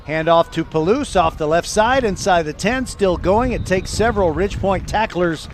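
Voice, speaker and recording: A man calling live high school football play by play, describing each play in detail. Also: lively intonation, moderate pace, a little hiss or hum. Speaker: play-by-play sports announcer.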